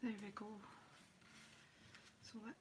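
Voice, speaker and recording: A woman with a slightly croaky voice, chatting and rambling as she hosts a live craft demonstration. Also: slow, background noise. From United Kingdom. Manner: chatty, rambling, self-deprecating, talks while working. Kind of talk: live-stream craft demo chat.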